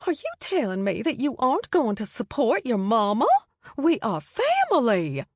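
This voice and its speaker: A woman's voice: a little high, wildly swooping pitch, moderate pace, husky, no echo, clean studio sound, voice pushed up, full character voice. Woman putting on a prim, scandalized older Southern lady.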